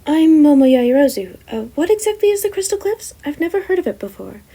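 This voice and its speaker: A woman's voice: high-pitched, sing-song, fairly quick, warm tone, voice pushed up. A woman voicing a polite, composed teenage girl student.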